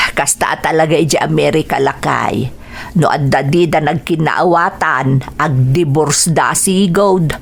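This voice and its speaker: A middle-aged village mother , voiced by a woman, speaks with an Ilocano accent. She talks fussily and exclaims often. Her voice is slightly low for a woman. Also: gravelly, husky, exaggerated voice. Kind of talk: radio drama acting.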